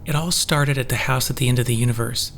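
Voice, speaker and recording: A man's voice: mid-range voice, fast, creaky, a bit bright, a little hiss or hum. An actor playing an earnest, well-meaning man who justifies himself in an audio drama. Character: Arlo, earnest reality-altering confessor, well-meaning.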